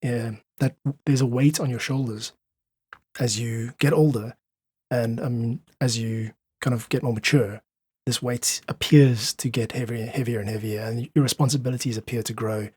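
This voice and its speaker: Husky, earnest man. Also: medium pitch, fairly even intonation, normal speed, darkish tone, no echo. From South Africa. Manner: earnest, philosophical, emphatic repetition. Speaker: podcast guest, independent musician. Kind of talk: reflective casual conversation.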